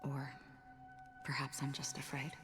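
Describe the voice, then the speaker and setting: An actress playing a calm, wistful wandering warrior woman with a soft, restrained voice in a video game cutscene. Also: a bass voice, a little slow. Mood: melancholy. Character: melancholy, soft-spoken wandering warrior woman losing her memories. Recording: long reverb, faint music. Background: faint music.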